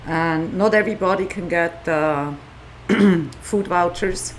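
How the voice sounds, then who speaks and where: A woman with a Swiss accent, speaking in a slightly low voice. She tells her story in a relaxed, animated way and acts out what people said. A faint hiss sits under her voice. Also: takes it slowly. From Switzerland. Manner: animated storytelling with quoted dialogue. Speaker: guest, non-profit founder. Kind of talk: casual podcast interview.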